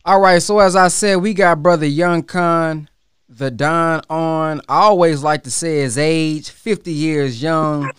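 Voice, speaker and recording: A man hosting an interview, introducing his guest with energetic hype. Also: on the high side, unhurried, clean tone, dry recording. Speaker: host.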